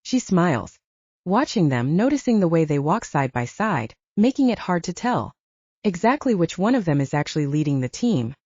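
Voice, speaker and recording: A woman's voice: fairly low pitch, sing-song, moderate pace, dark tone, no room sound, highs cut off. A woman narrating an audiobook, reading scripted fiction in a dramatic storytelling style.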